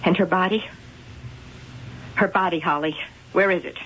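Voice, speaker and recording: A woman's voice: lowish voice, fairly even intonation, normal speed, a bit bright, close to an everyday voice. An actress playing a mocking, theatrical woman devoted to her cats, in a noisy old radio drama with grand, dramatic phrasing. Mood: grave, grieving. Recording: noisy, dull vintage radio drama tape.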